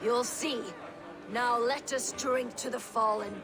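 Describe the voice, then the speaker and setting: An actress playing a mystical, merry giantess in a Norse video game, telling cryptic lore portentously. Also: female voice, on the high side, fairly even intonation, takes it slowly. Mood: mysterious, toasting. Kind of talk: video game cutscene dialogue. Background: music.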